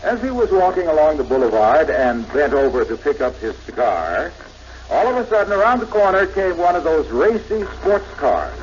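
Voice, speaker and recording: A man's voice: fairly high pitch, sing-song, normal speed, noisy background. A man announcing and narrating a 1950s radio comedy show in a scripted, old-time broadcast style. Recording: vintage radio broadcast, dull, music behind. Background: music.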